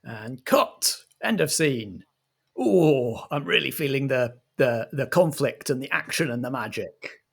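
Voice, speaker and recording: Slow-paced male game master. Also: mid-range voice, animated, vocal fry, fairly bright tone, husky. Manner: deliberate, dramatic phrasing. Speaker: game master, playing a director. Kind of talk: theatrical tabletop game narration.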